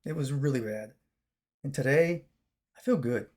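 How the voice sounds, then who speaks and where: A man with a raspy, chesty voice, recovering after a long fast, talking casually to his viewers in a rambling way with many fillers and restarts. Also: mid-range voice, a little mellow. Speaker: vlogger. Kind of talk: casual talk to camera.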